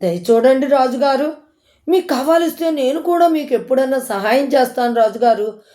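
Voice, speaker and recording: A woman's voice: high voice, normal speed, mellow, natural voice. A woman voicing a tiny, polite young female mouse in a coaxing, eager storybook manner. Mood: pleading. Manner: coaxing, cutesy, repeatedly deferential.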